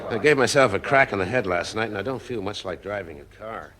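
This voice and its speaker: A private eye from a detective audio drama, played by a man. His voice sits low. He is wry yet sympathetic, sounding like someone recounting his own case. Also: animated, fairly quick, a crowd in the background, natural voice. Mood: wry narrating. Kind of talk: audio drama detective acting with first-person narration.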